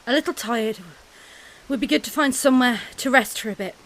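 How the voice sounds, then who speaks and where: A woman's voice: on the high side, a little fast, fairly bright tone, low-quality recording. A woman playing a tired, blunt but caring young survivor in an audio drama. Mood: weary.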